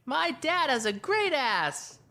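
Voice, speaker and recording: Demanding little kid for audio drama, high-pitched, sharp and childishly insistent. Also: female voice, big pitch swings, slow, heavily acted. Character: stubborn, excitable little child demanding things. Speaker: actor.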